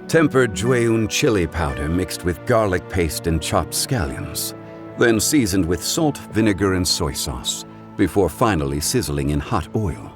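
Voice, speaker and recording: A man voicing a composed, refined consultant in a game, speaking in a measured, formal, eloquent way. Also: low voice, full character voice. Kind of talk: game cutscene voice acting.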